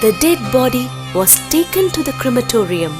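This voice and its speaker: A woman's voice: sits high, sing-song, normal speed, music in the background. A woman narrating a scripted children's story.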